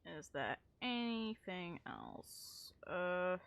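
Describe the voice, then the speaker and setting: A woman game streamer giving casual, animated, unscripted reactions in informal, sweary language. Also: lowish voice, very slow, gravelly, no echo.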